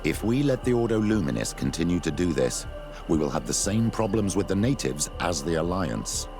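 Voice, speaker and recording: An actor playing a grave, principled older clergyman in a video game, speaking solemnly in a gravelly voice. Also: low voice, sing-song, moderate pace, music in the background. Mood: reluctant, solemn.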